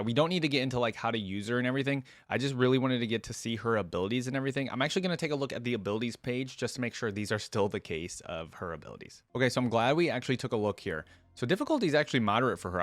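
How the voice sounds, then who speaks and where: A man's voice: medium pitch, lively intonation, racing, few pauses, dry recording. A man giving casual, conversational reaction commentary on a video game, rambling with frequent asides.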